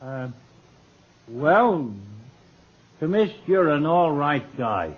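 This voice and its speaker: An actor playing a brash, clowning working-class club member, slangy and easily riled, in 1940s radio comedy. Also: male voice, on the high side, big pitch swings, very slow, slightly rough, heavily acted. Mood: casual. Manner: slangy, colloquial. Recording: muffled, noisy old 1940s radio recording.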